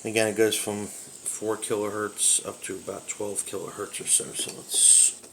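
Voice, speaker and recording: A man casually walking through an old multiband radio at home in his kitchen, explaining its features in a relaxed, rambling way. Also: on the low side, normal speed, vocal fry, slight background noise, low-quality recording. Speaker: radio hobbyist reviewing a radio. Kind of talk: casual product walkthrough.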